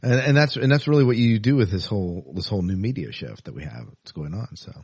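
A man's voice: fairly low pitch, animated, quick pace, a little mellow, slightly rough, no room sound. A middle-aged man hosting a podcast interview in an agreeable, informal, loosely phrased conversational way.

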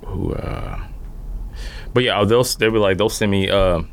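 Low-pitched man chatting casually. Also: fairly even intonation. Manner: informal, slangy, halting and repetitive phrasing. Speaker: podcast guest. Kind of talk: casual chat.

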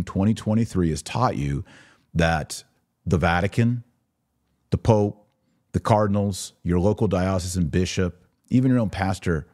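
A man's voice: low-pitched, mellow. A middle-aged man giving religious commentary as a podcast host, earnest and emphatic, stressing key words as he addresses viewers directly. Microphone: webcam setup.

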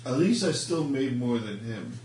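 A man's voice: medium pitch, animated, moderate pace, darkish tone, slight background noise. A man at a game table cracking jokes with his friends. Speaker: player. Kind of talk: casual chat among friends. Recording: echoey room, distant mic, chatter.

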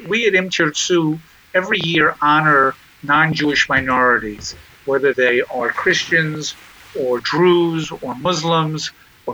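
A man speaking as a guest in an interview, answering in a measured, deliberate way with frequent pauses and restarts. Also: on the high side, pushed and bright, slight background noise, poor audio. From Israel. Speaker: guest, nonprofit chairman.

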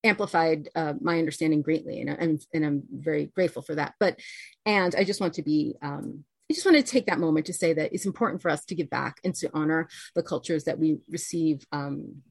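A woman's voice: low voice, fairly quick, vocal fry, breathy edge, no echo. An American woman, a guest author on a podcast, speaking earnestly and thoughtfully with frequent restarts. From United States. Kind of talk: podcast interview.

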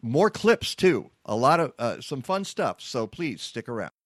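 Male radio host, casual and joking, lots of fillers, dry close sound, middle pitch. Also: big pitch swings, dry recording. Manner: chatty, joking, halting with fillers. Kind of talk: casual interview talk.

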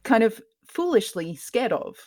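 A woman from Scotland with a mixed, mostly English accent, speaking softly in a lowered tone as a guest in a casual interview, laughing often. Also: sing-song, measured pace. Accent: mixed, mostly English with some Scottish. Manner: soft lowered tone, laughs often, many ums. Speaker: podcast host, guest.

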